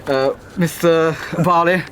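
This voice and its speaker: A halting, polite contact. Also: male voice, fairly high pitch, steady tone, fairly bright tone. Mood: courteous. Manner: halting, courteous, many hesitations. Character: hesitant, polite Russian contact man.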